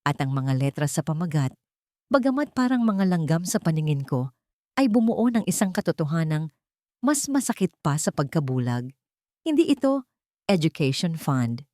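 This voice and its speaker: A woman narrates a dramatic audiobook. Her voice sits on the low side with very lively intonation, and the recording is dry. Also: moderate pace, no echo. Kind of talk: dramatic scripted first-person narration.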